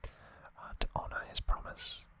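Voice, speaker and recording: An ASMR host whispering a recap of trivia in a breathy, unvoiced voice, with halting, informal asides. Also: sharp tone.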